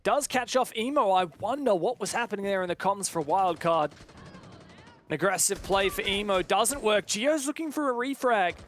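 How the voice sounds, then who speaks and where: A high-voiced man commentates a competitive video game live. His phrasing is clipped and dramatic, in the manner of a play-by-play caster. There is some light game noise behind him. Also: animated, normal speed.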